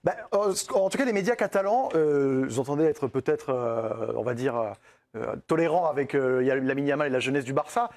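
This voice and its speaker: A man's voice: mid-range voice, animated, a little fast, darkish tone. A man reporting on foreign media for a panel, repeating words as he stammers. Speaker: pundit following Spanish media. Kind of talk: studio debate commentary.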